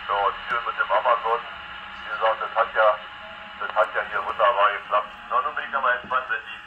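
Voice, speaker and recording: A man chatting in a colloquial, rambling way over CB radio. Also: mid-range voice. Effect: heard over CB radio. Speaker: CB radio operator. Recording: muffled noisy CB radio reception.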